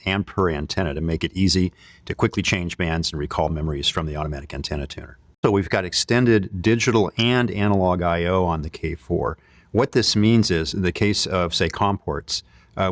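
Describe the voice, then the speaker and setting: A man's voice: low-pitched, fairly bright tone, no echo. A man presenting technical equipment in an informal product demo, explaining it in a conversational way. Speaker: company presenter demonstrating radio equipment.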